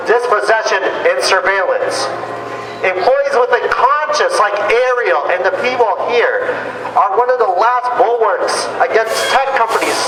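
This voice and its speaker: An echoey, reverberant recording of a woman activist speaking at an outdoor rally. She reads a prepared political speech in a measured, emphatic way, with clear noise around her. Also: medium pitch.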